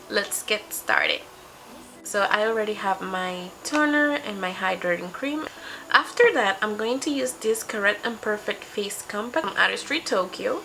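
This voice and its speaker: A woman's voice: medium pitch, creaky, pushed and bright, a little hiss or hum, low-quality recording. A young woman whose first language is Spanish, chatting her way through a makeup tutorial in a small room at her desk. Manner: chatty, talks through each step while applying makeup. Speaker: beauty vlogger. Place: small home room with patterned wall. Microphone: webcam framing, seated at desk.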